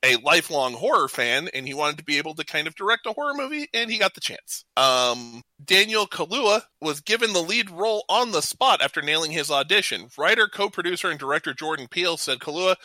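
A man's voice: fairly high pitch, animated, fairly quick, hardly any pauses, pushed and bright, no room sound. A man hosting a trivia game on a casual talk show, speaking informally and hesitating a little when he ad-libs. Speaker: podcast host running a trivia game.

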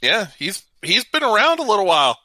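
A man co-hosting a casual radio talk show, reading out trivia with halting introductions. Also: high-pitched, sing-song, sharp tone, dry recording, clean studio sound.

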